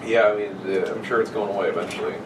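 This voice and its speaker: A man's voice: lowish voice, racing, distant mic. A man co-hosting a podcast in casual, crude, sardonic banter with plenty of swearing. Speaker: podcast co-host. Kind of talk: casual joking group chat. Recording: roomy, off-mic, noisy background.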